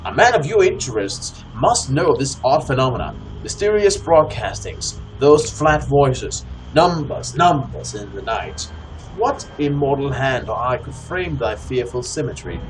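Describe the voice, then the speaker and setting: A man hosting a co-op gaming session, commenting casually and reading in-game text aloud with deliberate phrasing. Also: medium pitch, big pitch swings, rough recording. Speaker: host of a co-op let's play. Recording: clear background noise, game ambience.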